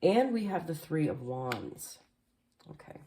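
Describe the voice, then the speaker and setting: A woman talks through a tarot spread in a loose, chatty voice-over, dropping plenty of fillers along the way. Her voice sits low and mellow. It has a slightly stuffy, hoarse edge from allergies. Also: big pitch swings, takes it slowly, a little hiss or hum. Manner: chatty, filler-heavy, frequent "like" and "um". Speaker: tarot reader. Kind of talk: casual tarot reading voice-over. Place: home, voice-over behind the camera.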